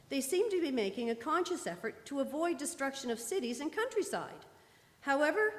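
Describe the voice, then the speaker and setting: A woman as a high-voiced formal radio announcer. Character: formal woman radio announcer reading war news bulletins. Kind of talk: scripted news bulletins in live radio drama. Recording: echoey church hall, live performance.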